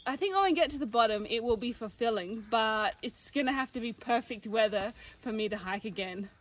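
A young woman chatting expressively to a handheld phone camera on an outdoor forest trail, sounding exasperated and quick to laugh. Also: a little high, expressive, fairly bright tone, slight background noise, low-quality recording. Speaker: hiking companion. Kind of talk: casual on-camera chat. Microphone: phone camera held by companion.

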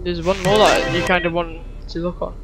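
A woman gamer comments casually on her play. Her voice sits a little low and her delivery is offhand, full of muttered asides. Loud game music runs behind her. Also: level tone, brisk, poor audio. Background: video game music and sounds.

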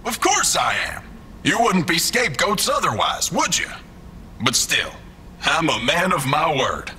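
An actor playing a smug, callous villainous boss, theatrical and condescending, in a science-fiction audio drama. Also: male voice, a little high, wildly swooping pitch, a little slow, bright, forceful tone, slight background noise, exaggerated voice. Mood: smug, mocking.

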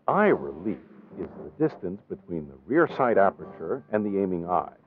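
A man with an American accent reading scripted training-film narration in a measured, formal, precisely enunciated way. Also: low-pitched, big pitch swings, phone-quality sound, a little hiss or hum.

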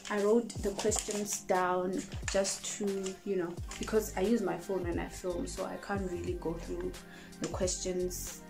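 A woman's voice: lowish voice, creaky. A young woman in her twenties from South Africa, chatting casually and rambling into her phone camera in a small room. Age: 20s. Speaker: vlogger. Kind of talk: casual get-to-know-me chat. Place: small indoor room, in front of cupboards. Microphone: phone camera at close range.

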